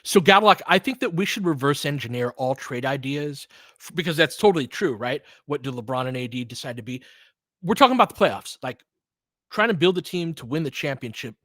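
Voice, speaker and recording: A man's voice: on the high side, animated, brisk, a bit bright, no room sound. A man hosting informal live basketball commentary, chatting conversationally with fans and calling plays in clipped phrases. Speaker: host doing live play-by-play for fans. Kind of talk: casual basketball commentary mixed with chat replies.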